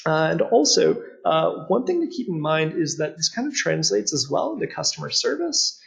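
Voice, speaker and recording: A man's voice: on the high side, sing-song, normal speed, creaky, breathy edge. A young man, not Czech by birth, presents a study abroad webinar over slides, with many "uh" fillers and an earnest, explaining tone.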